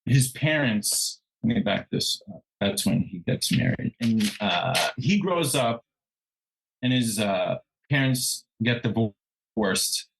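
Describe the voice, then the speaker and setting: Male, podcast co-host, conversational crime storytelling, halting, self-correcting. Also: medium pitch, expressive, creaky, fairly bright tone, slightly rough, poor audio. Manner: halting, backtracks and corrects himself.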